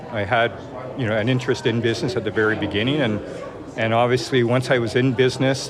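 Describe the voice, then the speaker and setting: A man in his sixties, an accountant and board member, answering interview questions with many restarts and fillers. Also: medium pitch. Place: busy brunch reception hall. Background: crowd chatter.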